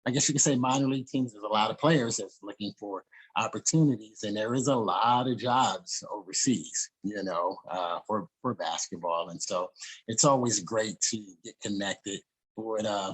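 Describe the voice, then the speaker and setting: A man's voice: on the low side, moderate pace, husky, poor audio. A man hosting a casual interview, talking in an easygoing way with frequent "you know" fillers. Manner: easygoing, uses "you know" and "I guess you could say". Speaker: podcast host.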